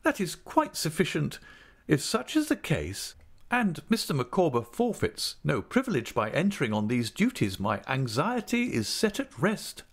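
A man voicing an earnest, fussy middle-aged wife who speaks in a shrill, emphatic way. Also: huge pitch swings, normal speed, slightly rough, exaggerated voice. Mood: insistent.

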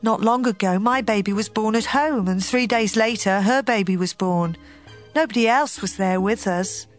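A woman's voice: medium pitch, moderate pace, soft background music, natural voice. An actress playing an anguished, pleading young mother in a dramatized scripture audio drama. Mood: distressed, earnest. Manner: emotional, pleading.

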